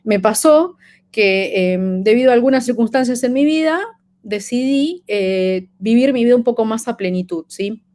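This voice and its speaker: A woman's voice: fairly high pitch, animated, moderate pace, darkish tone. A woman in her thirties from Peru, with a light Peruvian accent from living in Argentina, giving a conference talk with frequent fillers and restarts. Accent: light Peruvian, lived in Argentina. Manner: hesitant, many fillers and restarts. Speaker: conference speaker.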